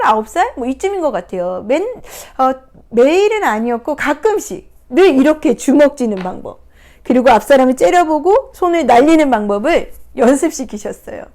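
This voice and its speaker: A woman counselor giving a reflective talk, retelling a picture book in a gentle, unhurried way with soft hesitations. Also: on the high side. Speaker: counselor and coach. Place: recording her first video talk.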